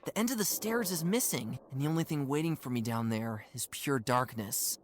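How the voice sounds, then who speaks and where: Young actor doing a curious animal hero, game voice, earnest, really up and down, mid pitch, bit of background noise. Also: close to an everyday voice. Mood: puzzled, resigned. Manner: wondering, earnest, expressive observations to self. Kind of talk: cartoon adventure game voice acting.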